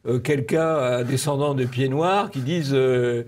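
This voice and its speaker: A man giving conversational political commentary in halting, repeated phrases. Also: medium pitch, darkish tone. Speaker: media commentator.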